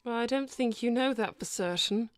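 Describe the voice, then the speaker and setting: A woman acts out a proud young cartoon cat princess in a live roleplay stream. Her voice sits fairly high, with formal, prim phrasing and an exasperated, scolding temper. She is recorded dry and close, with faint music behind. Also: moderate pace, no room sound, lightly acted. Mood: formal, grave. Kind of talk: improvised in-character live roleplay.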